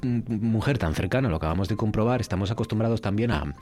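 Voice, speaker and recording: A man's voice: fairly low pitch, quick pace, a little mellow, faint music behind. A man hosting a radio show, chatting casually with guests and often restarting his sentences. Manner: chatty, hesitant restarts, laughs easily. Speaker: radio host. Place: radio studio.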